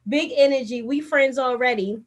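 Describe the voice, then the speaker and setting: A woman's voice: sits high, normal speed. A woman from Baltimore hosting a casual interview show, upbeat and full of slang. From Baltimore, USA. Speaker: host.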